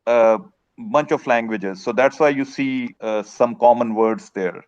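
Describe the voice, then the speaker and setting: A man taking part in a study group, explaining with hesitant pauses. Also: mid-range voice. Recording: dull lo-fi audio.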